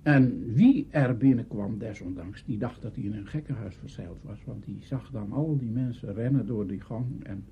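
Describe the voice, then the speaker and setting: An older man recalls the past as an interviewee, telling it deliberately and with emphasis. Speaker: interviewee, radio pioneer. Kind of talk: spoken reminiscence. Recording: muffled archive tape with noise and rumble.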